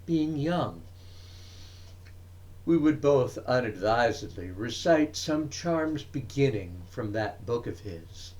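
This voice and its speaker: A man giving a dramatic recitation of poetry, with deliberate, emphatic phrasing and frequent pauses. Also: mid-range voice, lively intonation, darkish tone. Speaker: reader of poetry.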